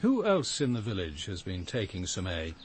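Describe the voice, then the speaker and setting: Husky police inspector with a lively, varied delivery. He is cool, dry and exacting, putting pointed questions in a measured radio drama manner. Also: sits low, heavily acted. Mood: probing.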